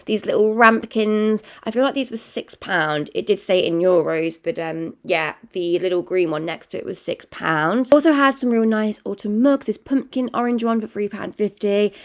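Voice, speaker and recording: A young woman vlogging at home, chatty and rambling, wheezy from a chest infection. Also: medium pitch. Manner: chatty, rambling, self-correcting. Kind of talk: casual chatty vlog. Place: home, indoors.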